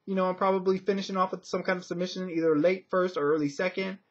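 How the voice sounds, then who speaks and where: A man's voice: sits high, little pitch movement, fast talker. A man giving casual sports predictions in a hesitant, conversational way, as a commentator would. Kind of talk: casual fight prediction talk. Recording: dull lo-fi audio.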